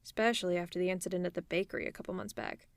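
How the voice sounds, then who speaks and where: A woman playing a tough but caring female supervillain in an ASMR roleplay, speaking in a conversational, reassuring and gently teasing way. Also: lowish voice, fairly even intonation, racing, vocal fry, dry recording. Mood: exasperated. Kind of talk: ASMR roleplay audio drama.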